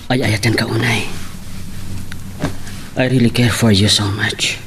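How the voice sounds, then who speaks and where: An actor as a devoted young sweetheart in a Filipino radio love story. His voice is fairly low, with an Ilocano accent and a sincere, reassuring manner. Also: fairly even intonation, measured pace, background noise, natural voice. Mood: tender, reassuring. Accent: Ilocano, Philippines. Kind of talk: radio romance drama acting.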